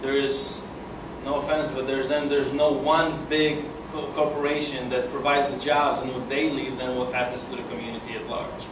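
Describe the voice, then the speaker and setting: A man's voice: mid-range voice, little pitch movement, moderate pace, few pauses. A young man with a Polish accent giving a casual presentation at a breakfast meeting, hesitant, with many fillers and restarts. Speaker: guest speaker. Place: conference room, speaking to a seated audience. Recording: echoey room, far from the mic, air conditioning hum.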